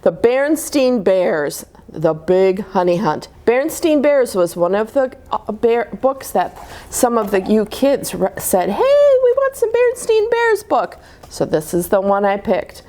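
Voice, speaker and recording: A woman's voice: medium pitch, expressive, a little slow, warm tone. A woman hosting a children's storytime, chatting to young listeners as she introduces a read-aloud.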